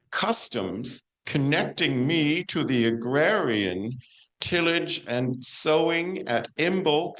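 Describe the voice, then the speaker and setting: Woman acting a slow, stately female saint. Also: sits low, poor audio. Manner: measured, declamatory storytelling. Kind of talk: amateur stage play performance.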